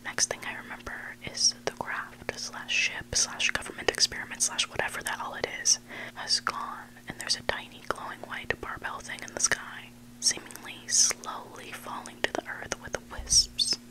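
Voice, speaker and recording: A person whispering a story in ASMR style as a host, hushed and deliberate, pausing between short phrases. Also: poor audio. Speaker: ASMR host reading submitted online stories.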